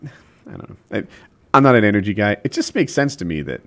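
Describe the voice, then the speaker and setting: Low-voiced man rambling in casual chat. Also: big pitch swings, brisk, fairly bright tone. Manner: rambling, filler-heavy, swearing. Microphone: video call.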